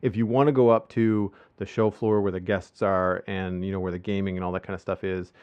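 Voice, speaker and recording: A man's voice: deep voice, flat delivery, a little fast, clean tone, highs cut off. A man hosting a casual podcast chat, rambling with many ums and uhs. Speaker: podcast host.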